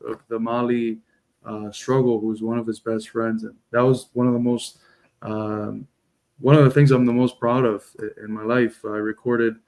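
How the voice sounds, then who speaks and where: A Cuban man with a Cuban accent, a guest on the show, telling a story in a halting way with many restarts. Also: mid-range voice, darkish tone, low-quality recording. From Cuba. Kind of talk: casual chat.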